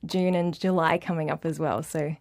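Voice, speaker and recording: A woman's voice: sits low, steady tone, moderate pace, a little mellow, dry recording. A middle-aged woman whose first language is Dutch, a guest on a radio interview, bubbly and laughing often, with fillers and restarts. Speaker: art academy director, guest.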